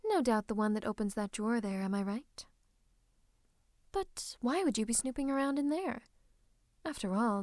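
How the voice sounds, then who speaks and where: A woman's voice: a little high, darkish tone, dry recording, studio quality. A woman playing a scheming royal advisor and sorcerer in an ASMR roleplay, smug and mock-courteous, slipping into menacing whispers. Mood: sly, taunting. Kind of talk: ASMR roleplay, theatrical monologue to the listener.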